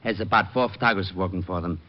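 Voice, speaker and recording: An actor playing a bumbling, dim-witted police sergeant with hesitant, flustered replies in a 1940s radio drama. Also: male voice, low-pitched, fast talker, close to an everyday voice. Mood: eager. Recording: dull vintage radio broadcast.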